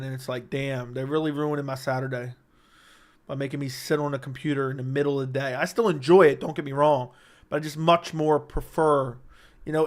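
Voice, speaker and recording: A man hosting a casual livestream, reading chat questions aloud and answering them in a joking way, his voice slightly raspy and worn. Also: fairly high pitch, a bit bright.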